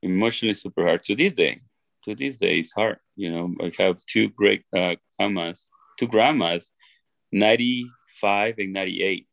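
A middle-aged man from Ecuador with an Ecuadorian Spanish accent, telling his life story to an interviewer in an earnest, halting way with many restarts. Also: lowish voice, vocal fry, fairly bright tone, no room sound. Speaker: interview guest. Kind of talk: reflective personal storytelling.

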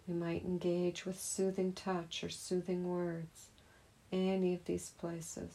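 A woman gently leading a guided meditation as a teacher, in a soothing, unhurried manner. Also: fairly low pitch, monotone.

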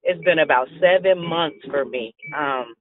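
A man calls into a prayer line and gives an earnest, preacherly testimony. His voice sits a little high, and it comes through a thin phone line. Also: measured pace. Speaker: caller.

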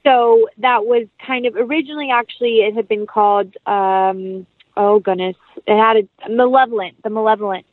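A woman's voice: a little high, fairly even intonation, moderate pace, no room sound. A woman giving a casual interview as a guest, chatty and rambling, with a self-deprecating streak. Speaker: actress, producer and interview guest. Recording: dull, lo-fi, band-limited audio.

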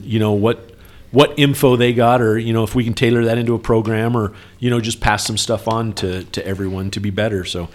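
A man co-hosting a trucking company podcast, chatting casually in an earnest, explanatory way and often checking for agreement. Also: lowish voice. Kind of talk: casual workplace talk with anecdotes.

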